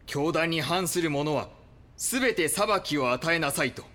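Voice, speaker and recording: Cold fanatic cult guy, bit high voiced, preachy and stern, polite but threatening, radio drama. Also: expressive, unhurried, fairly bright tone. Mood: cold, righteous. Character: cold, fanatical male cult enforcer, devoutly obedient. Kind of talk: Japanese audio drama acting.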